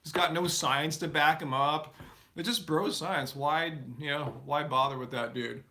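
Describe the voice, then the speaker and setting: A man with a bright, forceful tone and a slightly high pitch hosts a relaxed live Q&A stream. He answers viewer questions loosely, full of fillers and restarts. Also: a little slow. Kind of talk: casual live Q&A chat. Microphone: live stream setup.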